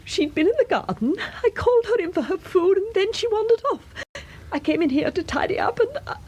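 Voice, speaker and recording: A woman playing a gentle, fretful British wife in a radio drama, genteel and apologetic in manner. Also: very high-pitched, expressive, a little fast. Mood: distressed. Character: gentle, fretful middle-class wife with hidden unease.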